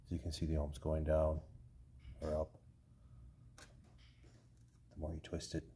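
A man's voice: extremely low pitch, monotone, measured pace, warm tone. A man working as a mechanic, explaining a repair step by step in a plain, matter-of-fact way from his garage workshop. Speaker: snowmobile mechanic. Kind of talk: hands-on repair tutorial.

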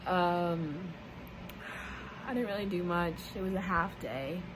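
A teenage girl with a hoarse, strained voice, chatting casually and trailing off with ums as she vlogs into a phone held at arm's length. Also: fairly low pitch, slow. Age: teens. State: hoarse from laryngitis. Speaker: vlogger. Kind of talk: casual vlog narration. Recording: phone audio with loud background noise.

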